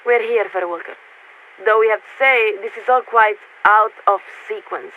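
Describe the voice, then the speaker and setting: A woman playing a poised, politely bureaucratic military signaler in a video game, speaking formally and in measured phrases through a radio filter. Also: medium pitch. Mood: official, firm. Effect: radio transmission filter. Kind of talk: scripted video game character dialogue. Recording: narrow radio band with static noise.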